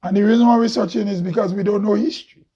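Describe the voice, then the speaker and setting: A man with a Grenadian accent talks in a loud, heavy voice that sits fairly high and sounds husky. He preaches with rhetorical questions and frequent laughs. The recording is dull and lo-fi. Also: steady tone, very fast. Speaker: host. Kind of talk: impassioned monologue.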